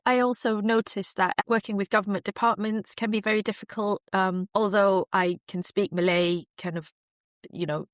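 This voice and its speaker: A woman answering interview questions as a researcher, thoughtful and measured with frequent fillers. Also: medium pitch, no echo, clean studio sound. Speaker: guest researcher.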